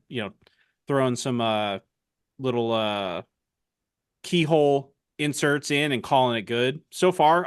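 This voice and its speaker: Smooth-voiced man in dry casual banter. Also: medium pitch, a bit bright, no room sound. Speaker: podcast co-host. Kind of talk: casual chat.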